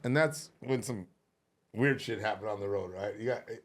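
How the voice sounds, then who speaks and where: A man hosting a comedy podcast, excitable and laughing often, swearing freely and calling his guest bro. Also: fairly low pitch, moderate pace. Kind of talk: casual podcast chat.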